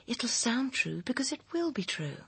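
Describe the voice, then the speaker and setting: An actress playing a cool, manipulative woman who insistently coaches her lover in a radio drama, with a husky, controlled voice. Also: on the high side, big pitch swings, normal speed, a bit bright, dry recording, exaggerated voice. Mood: firm, insistent.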